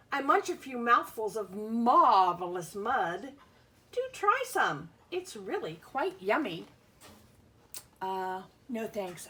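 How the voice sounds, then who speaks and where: A woman's voice: on the high side, a bit bright. A woman teacher reading a picture book aloud, explaining things simply and putting questions to young children. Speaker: schoolteacher reading aloud to pupils.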